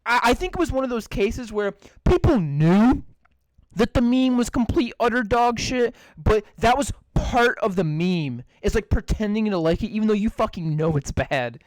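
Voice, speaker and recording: A man hosting a podcast, with a raspy voice and a plugged-up nose, swearing and laughing in casual chat. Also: sits high, lively intonation, moderate pace, no room sound, low-quality recording. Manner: profane, laughing, voice cracks. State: stuffy nose. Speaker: podcast host.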